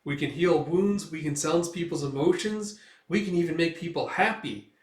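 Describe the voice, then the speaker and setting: A man's voice: on the high side, moderate pace, pitched above the performer's own voice, lightly acted. A man voicing a chatty, tender-hearted little male elf who talks in an inquisitive, emotional, exclamatory way. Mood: chirpy, curious. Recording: roomy, distant microphone.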